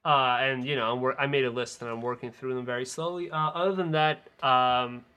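A man's voice: mid-range voice, steady tone, a little fast, clear, resonant tone, dull audio. A man co-hosting a film podcast, chatting casually and analytically with firm opinions. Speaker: film podcast co-host and critic.

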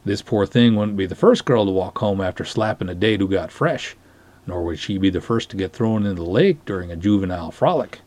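A man's voice: low-pitched, expressive, a little fast, darkish tone. A man giving a dramatic story reading in a formal, wordy, theatrical way. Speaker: podcast host reading a short story aloud. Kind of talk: dramatic reading of narrated dialogue.

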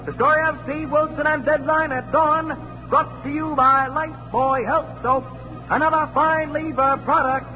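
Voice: sits high, steady tone, moderate pace, lightly acted. An actor playing an eager young newsboy hawking papers in an old-time radio show. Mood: urgent, hawking. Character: shouting street newsboy, young, boyish, eager. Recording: muffled old radio recording, organ music behind.

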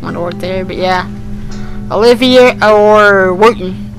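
A man co-hosting a fan podcast, chatting casually and haltingly as he thinks aloud. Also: sits high, over music, low-quality recording. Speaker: podcast co-host.

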